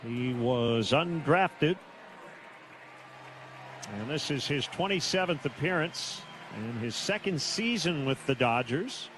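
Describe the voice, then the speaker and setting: A man calling live baseball on the radio in clipped, measured phrases with pauses between plays. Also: a little high, a bit bright. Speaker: baseball play-by-play announcer. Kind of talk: live radio play-by-play commentary. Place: stadium broadcast booth. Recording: noisy stadium radio broadcast.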